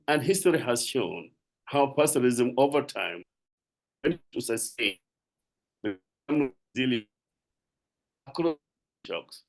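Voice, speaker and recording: A man from South Sudan with a South Sudanese accent, giving a halting, earnest tribute as a panelist on a video call. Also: medium pitch. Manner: halting, restarts phrases, earnest. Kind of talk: tribute speech on a webinar.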